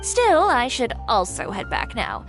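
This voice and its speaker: A woman's voice: a little high, huge pitch swings, unhurried, creaky, loud music behind the voice, exaggerated voice. A woman voicing a poised, frank and practical costume designer in a video game, speaking directly. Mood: practical, amused.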